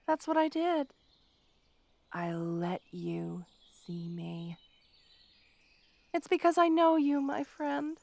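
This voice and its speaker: A woman's voice: a little high, no room sound. A woman playing a petty, obsessive supervillain in an audio roleplay, with a taunting, mock-sweet, theatrical delivery. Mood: smug gloating, then menacing whisper.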